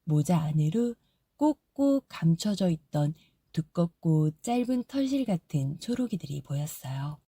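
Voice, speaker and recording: Soft, husky woman reading a fairy tale. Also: deep voice, big pitch swings, a little slow, no room sound, clean studio sound. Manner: soft, gentle storytelling. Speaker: audiobook narrator. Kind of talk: scripted storybook narration.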